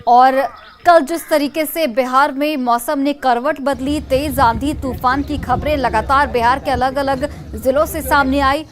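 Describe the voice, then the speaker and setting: A woman's voice: high-pitched, a little hiss or hum. A woman news anchor delivering breaking news in an emphatic, urgent way, repeating key phrases. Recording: music bed under the voice. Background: news music.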